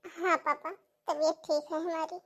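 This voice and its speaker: A very high, young schoolgirl voice, acted by a woman for a cartoon melodrama. She is earnest and plaintive, sounding close to tears as she pleads. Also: level tone, measured pace. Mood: tearful, pleading. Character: tearful young schoolgirl pleading to keep studying. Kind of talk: Hindi cartoon family melodrama acting.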